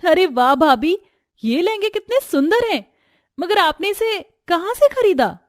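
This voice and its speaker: A woman's voice: extremely high pitch, very animated, unhurried, dry recording, clean studio sound, pitched above the performer's own voice, heavily acted. A woman voicing a sweet, innocent and brave young sister-in-law from a poor home, with an eager girlish manner. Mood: delighted. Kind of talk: Hindi family moral-story acting.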